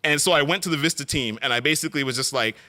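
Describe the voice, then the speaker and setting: A middle-aged man giving an earnest storytelling speech at an awards event, often pausing on fillers and restarting phrases. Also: fairly high pitch, sharp tone.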